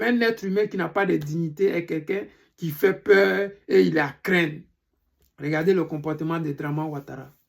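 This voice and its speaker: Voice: mid-range voice, creaky. An Ivorian speaker with an Ivorian French accent, delivering an indignant, emphatic political monologue to followers and hammering questions again and again. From Côte d'Ivoire. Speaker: political commentator. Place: living in Europe.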